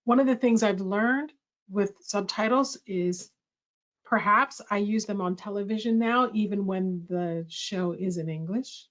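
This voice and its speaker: A woman's voice: medium pitch, a little slow. Reflective woman leading a workshop talk. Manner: thoughtful, reflective, self-correcting. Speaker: workshop host. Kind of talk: presentation dialogue. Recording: slightly muffled audio.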